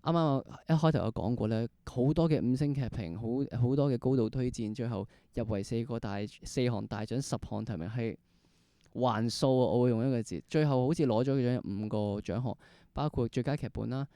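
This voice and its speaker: A podcast host with a Hong Kong Cantonese accent, interviewing casually in an excitable way full of exclamations. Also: mid-range voice, brisk, dry recording, clean studio sound.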